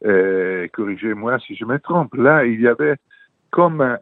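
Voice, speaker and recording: An older man with an Italian accent, a political journalist answering radio interview questions earnestly, pausing often with fillers. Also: medium pitch, animated, no echo. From Italy. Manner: earnest, hesitant with many fillers. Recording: tinny dry phone line.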